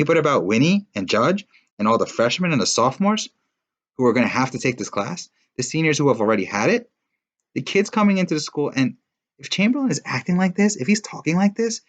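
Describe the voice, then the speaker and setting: A man's voice: lowish voice, lively intonation, moderate pace, pauses often, highs cut off. A man voicing a determined, sarcastic teenage girl who confronts her classmate in a read-aloud story. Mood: challenging.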